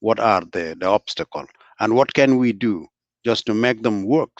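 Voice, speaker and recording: A man's voice: lowish voice, animated, brisk, dry recording. A man making earnest remarks in an online meeting, explaining his point with a simple example. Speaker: meeting participant. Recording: dry, dull lo-fi call audio.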